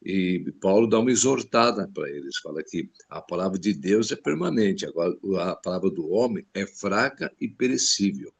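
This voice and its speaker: A man's voice: low voice, animated, moderate pace, vocal fry, few pauses, husky. A male pastor on a video call, earnestly stressing a point in a group Bible discussion.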